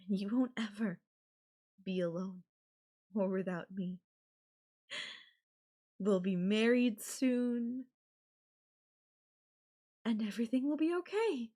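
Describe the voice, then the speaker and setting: A woman playing an obsessive, possessive, murderous girlfriend in an ASMR audio roleplay, speaking deliberately with drawn-out phrases and pauses. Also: medium pitch, warm tone. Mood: soothing possessiveness.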